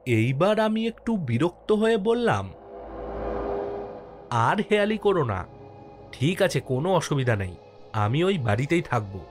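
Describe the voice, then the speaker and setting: A man acts out an easygoing village postmaster telling his own ghost story in a horror cartoon. His voice is fairly high and very lively, with dramatic, expressive delivery. Music plays under him. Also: measured pace, long pauses. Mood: mildly annoyed. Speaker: first-person narrator and lead character.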